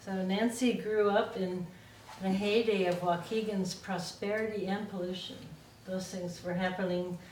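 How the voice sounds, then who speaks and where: A woman's voice: medium pitch, steady tone, measured pace, few pauses, darkish tone. A woman college instructor introducing guests to her class in a plain, organized way. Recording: echoey classroom from far off, faint noise.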